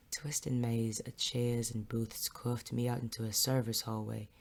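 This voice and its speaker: A woman playing a wry, gruff male police detective in a noir audio drama, with deadpan, sardonic delivery. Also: a bass voice, heavily acted. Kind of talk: noir audio drama dialogue and first-person narration. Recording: music behind the voice.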